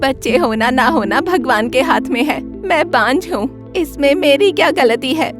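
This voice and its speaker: A woman's voice: sits high, expressive, unhurried, music in the background, voice pushed up. A woman voicing a meek, wronged female goat in a cartoon fable, plaintive and imploring. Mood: despairing.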